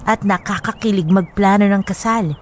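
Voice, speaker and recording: A woman's voice: mid-range voice, fairly even intonation, moderate pace, slight background noise, close to an everyday voice. An actress with a Filipino accent narrating as a reflective bride recounting her love story in a heartfelt way for a radio drama. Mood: reflective, fond.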